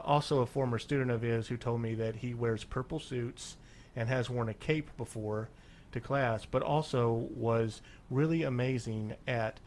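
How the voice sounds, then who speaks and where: A young man hosting a local radio show, introducing his guest with lots of pauses and fillers. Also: medium pitch, slight background noise. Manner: hesitant, many fillers. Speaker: radio host. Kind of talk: casual radio chat. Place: radio studio.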